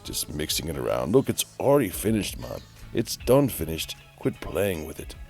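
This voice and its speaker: Casting: man acting a theatrical play-by-play announcer, deep and creaky, pompous, teasing, musing aloud. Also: very animated, darkish tone, soft background music. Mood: bored, exasperated. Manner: grandiose, drawn-out, musing aloud, mock-dramatic. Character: Chaz Leroy, flamboyant, theatrical male announcer, grandly teasing.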